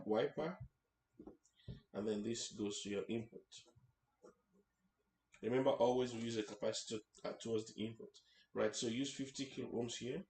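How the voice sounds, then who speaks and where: A man's voice: mid-range voice, a little mellow. A man hosting an informal hands-on electronics tutorial, explaining things step by step and checking in as he goes. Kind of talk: informal hands-on demonstration narration. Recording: roomy echo, faint background noise.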